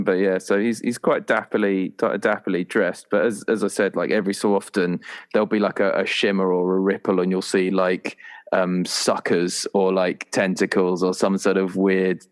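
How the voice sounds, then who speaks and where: A man with a fairly low voice talks casually as a tabletop player thinking aloud. His manner is playful, and he drops into bits of imagined dialogue as he goes. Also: flat delivery, normal speed. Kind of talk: casual chat, inventing a character.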